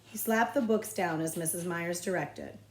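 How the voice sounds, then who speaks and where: A woman reading a children's novel aloud as a librarian, with expressive, measured storytelling phrasing. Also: on the low side, echoey room, a little hiss or hum.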